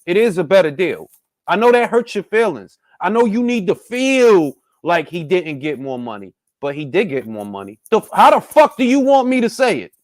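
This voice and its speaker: A man's voice: sits high, very animated, moderate pace, darkish tone, dry recording, clean studio sound. A man arguing a point in a casual chat, exasperated and emphatic, swearing as he presses it. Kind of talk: heated argument in casual chat.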